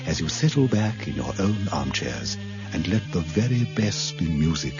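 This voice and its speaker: A deep, smooth computer announcer. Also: male voice, very animated, moderate pace. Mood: soothing. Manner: smooth, soothing announcer delivery. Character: male broadcast voice of a ruling computer. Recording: dull lo-fi radio drama recording with music.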